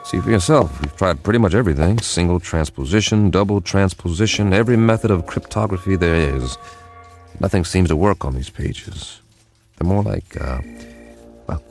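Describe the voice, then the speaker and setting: A government codebreaker in a radio drama, deep and creaky in tone. He comes across as weary, wry and dogged. Faint music sits behind him. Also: moderate pace, a little mellow. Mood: weary, exasperated.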